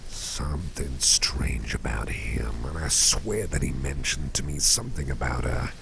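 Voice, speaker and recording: A man playing a caring, rambling boss in a gentle ASMR roleplay, speaking in a breathy, gravelly whisper with folksy wording. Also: a bass voice, lively intonation, a little slow, background noise, heavily acted. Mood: musing, puzzled. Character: caring, rambling, soothing male boss at home.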